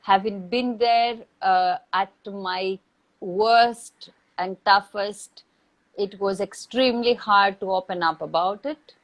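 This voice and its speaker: A woman who is not a native English speaker, giving reflective advice in a thoughtful, measured way with deliberate pauses. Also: mid-range voice, low-quality recording. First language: not English or Hindi. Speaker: acting teacher, interview guest. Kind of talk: reflective advice in a live online Q&A.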